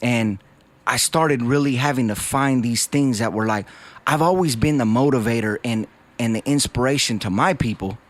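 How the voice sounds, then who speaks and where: A man's voice: mid-range voice, moderate pace. A man from West Covina, California, guesting as a rapper in an interview. He talks in an earnest, reflective way and keeps dropping in "bro" and "dog". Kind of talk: candid interview talk.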